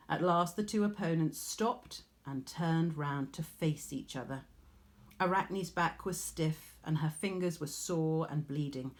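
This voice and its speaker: Slow, lowish-voiced woman storyteller. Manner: measured, expressive storytelling. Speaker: teacher reading a story aloud. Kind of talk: scripted storybook narration.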